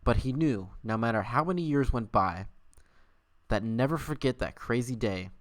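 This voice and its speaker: A man's voice: medium pitch, moderate pace, no room sound. A man hosting a livestream, switching between dramatic story reading and casual, playful chat. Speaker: livestream host.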